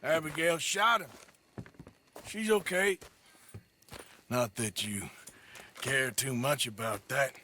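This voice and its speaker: An actor playing a worn, betrayed outlaw gunman in a Western video game, bitter and accusing in a halting delivery. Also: fairly high pitch, pushed and bright, a little hiss or hum, heavily acted. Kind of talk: cinematic video game acting. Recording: music behind.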